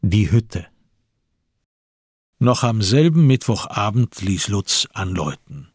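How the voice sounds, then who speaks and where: A man reading literary narration for a radio play in a measured storytelling manner. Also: fairly low pitch, very animated, husky, dry recording, clean studio sound.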